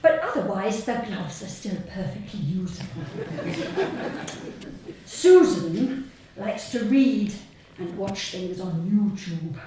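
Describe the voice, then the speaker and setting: Echoey, measured southern English woman reading aloud. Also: mid-range voice, lively intonation. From Sussex, England. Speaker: author reading own short story. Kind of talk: live humorous story reading. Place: small theatre stage before an audience. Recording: very echoey hall, distant mic, faint noise.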